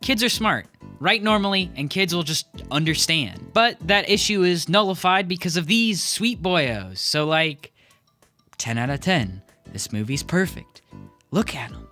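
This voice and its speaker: Lively, bright-voiced young man. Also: fairly high pitch, unhurried, faint music behind. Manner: wry, casual, with fillers. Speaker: host. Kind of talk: scripted video essay commentary.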